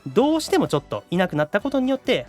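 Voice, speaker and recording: Male podcast host, Kansai accent, playful and teasing, animated intonation, music underneath. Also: sits high, rapid-fire. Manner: teasing, playful comeback-driven. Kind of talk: casual banter with a guest.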